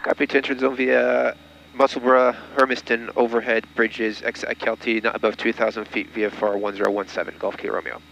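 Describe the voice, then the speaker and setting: A man's voice: medium pitch, level tone, fairly quick, gravelly, a bit bright. A man speaking as a private pilot, making clipped procedural radio calls with small hesitations. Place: light aircraft cockpit. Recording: dull audio with faint aircraft hum. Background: engine hum.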